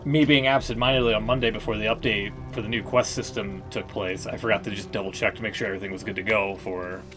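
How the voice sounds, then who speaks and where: A man's voice: on the low side, steady tone, fast talker. A man giving casual live-stream commentary on a game in a blunt, rambling way. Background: faint music.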